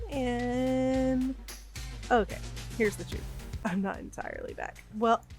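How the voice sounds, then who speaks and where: A woman in her thirties with a slight cold, rambling hesitantly with many fillers in a casual voiceover over a game build. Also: a little high. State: has a cold, a bit sniffly. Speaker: gaming YouTuber.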